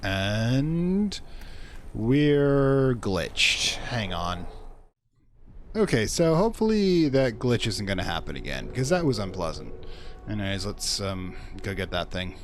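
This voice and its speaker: A man's voice: fairly low pitch, very animated, slow pace. A man giving casual, wry let's play commentary as host, chatty and given to muttered asides.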